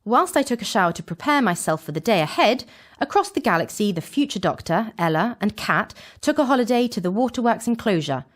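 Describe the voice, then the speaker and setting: A woman's voice: mid-range voice, sing-song, a little fast. A woman playing a frank young time-travelling companion, narrating an audio drama recap with dramatic storytelling delivery.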